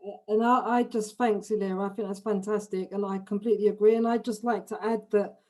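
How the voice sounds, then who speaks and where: A mellow-voiced woman speaking on a video-call panel. She talks in academic terms but hesitates often, filling her pauses with "uh". Her voice has a soft, warm tone. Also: mid-range voice, fairly even intonation.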